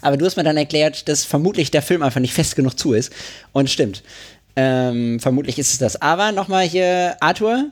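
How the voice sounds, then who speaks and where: A man talking casually about analog cameras in a relaxed podcast conversation. His voice sits a touch high, and his sentences wander with false starts and frequent laughter. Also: a little fast. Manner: laughs often, rambling restarts. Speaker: podcast co-host. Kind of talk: casual chat about film photography.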